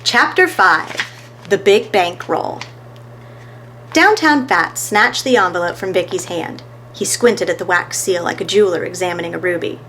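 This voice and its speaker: A woman's voice: on the low side, lively intonation, moderate pace, a bit bright, slight background noise, low-quality recording. A woman reading scripted narration of a children's story as a storyteller.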